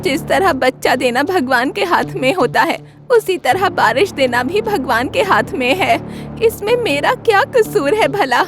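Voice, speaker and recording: Sorrowful cartoon nanny goat, female performer, high voice, meek and imploring, lively swings. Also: a little slow, music in the background. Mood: hurt, protesting. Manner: plaintive, imploring.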